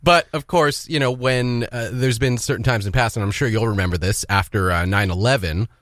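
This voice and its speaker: A man's voice: medium pitch, fairly quick, fairly bright tone. A man co-hosting a radio show in casual, chatty, joking banter. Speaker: radio co-host.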